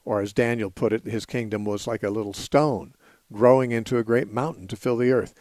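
Guy teaching bible stuff on call-in radio, steady, lots of uhs, dry close mic. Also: medium pitch, a little fast, dry recording. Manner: earnest, steady teaching with frequent "uh" and restarts.

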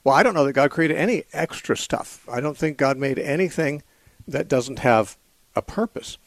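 A man's voice: on the high side, lively intonation, moderate pace, no echo. Radio host man with dry studio sound. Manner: thoughtful, hesitant with repeated words and fillers. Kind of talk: call-in radio Bible talk.

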